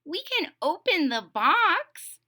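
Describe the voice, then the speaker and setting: A high, bright voice gives us a wistful storybook toad played by a woman. He is literal-minded and doubtful, stretching out plaintive objections with big swoops in pitch. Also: sing-song, full character voice. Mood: doubtful objecting. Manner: plaintive, drawn-out questioning and objecting. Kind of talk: children's storybook read-aloud.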